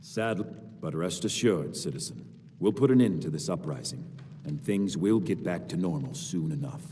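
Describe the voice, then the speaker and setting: An actor playing a dutiful, confident legion soldier in a fantasy game, speaking with formal assurance. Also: male voice, sits low, lively intonation, normal speed, dark tone, a little hiss or hum. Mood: assured. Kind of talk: video game character dialogue. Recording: distant, long hall reverb, music behind.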